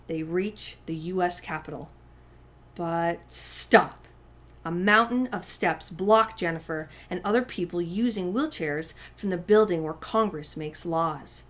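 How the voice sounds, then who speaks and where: A woman hosting a story time, reading a picture book aloud to young children with deliberate, emphatic phrasing. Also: on the low side, fairly bright tone. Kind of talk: expressive children's read-aloud narration.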